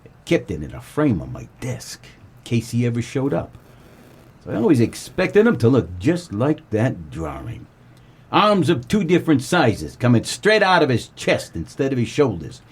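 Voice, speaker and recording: An actor playing a wry, world-weary 1940s private eye, narrating a comedic noir radio play. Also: male voice, mid-range voice, normal speed, husky, full character voice. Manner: wry, deadpan, colloquial.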